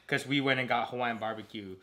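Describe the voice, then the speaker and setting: An American man chatting casually as a vlogger, in an informal, animated and jokey way. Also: medium pitch, fast talker. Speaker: vlogger, host. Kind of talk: casual vlog chat.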